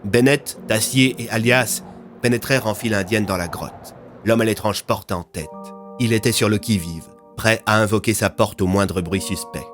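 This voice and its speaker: A man's voice: fairly low pitch, a bit bright. A man narrating a scripted audio fiction as its storyteller.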